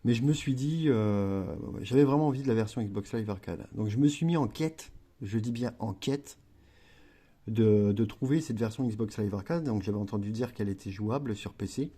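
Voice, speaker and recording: A man's voice: on the low side, normal speed, dark tone, slightly rough. A man chatting casually through a gameplay tutorial, rambling with frequent fillers and self-corrections. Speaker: gaming video creator.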